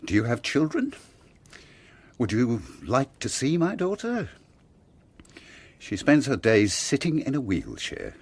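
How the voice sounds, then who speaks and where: An actor playing a bitter, anguished doctor and father in a radio drama, speaking in measured, formal, emphatic phrases. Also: medium pitch, huge pitch swings, husky, heavily acted.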